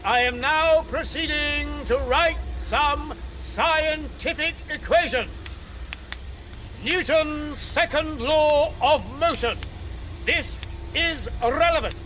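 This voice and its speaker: An actor playing a pompous, earnest Edwardian gentleman, making a formal declamatory address in a period radio drama. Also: extremely high pitch, slow pace, a little hiss or hum, exaggerated voice. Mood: earnest, ceremonial.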